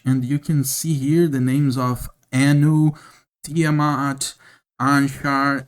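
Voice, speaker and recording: A Brazilian-accented man speaks English as a second language in an unscripted talk to camera. His voice sits at a middle pitch. He speaks slowly and earnestly, pausing often and filling gaps with "uh". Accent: Brazilian Portuguese. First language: Portuguese. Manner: slow, earnest, with frequent pauses and fillers. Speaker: YouTube channel host. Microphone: camera mic, speaking to camera.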